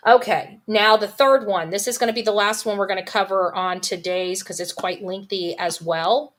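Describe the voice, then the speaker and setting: A woman's voice: medium pitch, steady tone, moderate pace. A woman hosting a true crime show in a casual, opinionated way, reading aloud and reacting for her viewers, often repeating phrases for emphasis.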